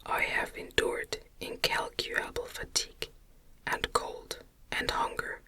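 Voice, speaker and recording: A narrator voicing a wretched, vengeful male monster from a gothic story in a smothered, breathy ASMR whisper, with menacing, grandiose, archaic speech. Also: measured pace, close to an everyday voice. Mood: bitter, aggrieved.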